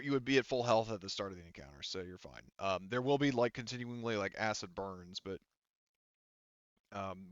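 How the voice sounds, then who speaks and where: Guy game mastering a tabletop rpg, bright forward voice, casual and swears, kinda lo-fi dry recording. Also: medium pitch, smooth, dry recording. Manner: informal, profane, thinking aloud while working out rules.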